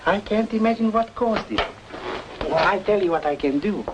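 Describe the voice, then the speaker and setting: Chatty helpful shopkeeper, old radio play, pitch swings around a lot, slight hiss. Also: male voice, expressive, normal speed. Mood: puzzled, helpful. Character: helpful, chatty small-town art shop man. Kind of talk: old-time radio noir drama acting.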